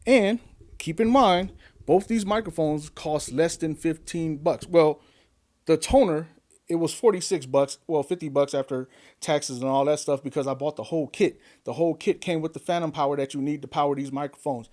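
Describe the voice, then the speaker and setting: A man's voice: on the high side, normal speed, darkish tone. A man talking casually to camera in a home studio, upbeat and chatty, asking viewers lots of questions, recorded close on a desk condenser mic. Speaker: YouTube tech reviewer. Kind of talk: casual vlog talk to camera. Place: home studio with gear behind. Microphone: desk-mounted condenser mic on an arm.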